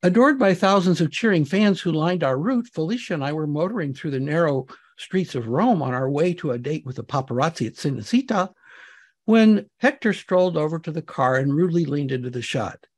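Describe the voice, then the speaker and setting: A man giving an expressive, wry and theatrical narration of comic fiction, as an author reading his own work. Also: a little high, brisk.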